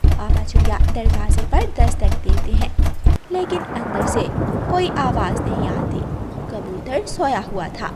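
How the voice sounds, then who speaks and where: A woman's voice: fairly high pitch, big pitch swings, unhurried. A woman narrating a scripted children's cartoon story as its storyteller. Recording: loud storm and thunder noise behind.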